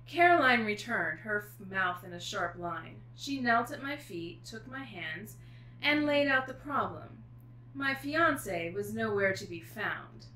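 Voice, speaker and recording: Bright-voiced woman author in an echoey room. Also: fairly high pitch. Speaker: author guest reading aloud. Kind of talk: expressive book reading and casual chat. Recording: echoey room with faint noise.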